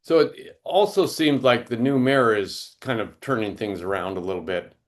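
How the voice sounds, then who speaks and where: Male host, mid-pitched voice, relaxed conversational questions. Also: moderate pace. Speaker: podcast host. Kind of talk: casual interview talk.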